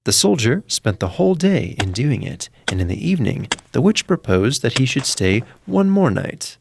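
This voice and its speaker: A man's voice: mid-range voice, big pitch swings, moderate pace, creaky, background noise. A man narrating a scripted fairy tale as a storyteller.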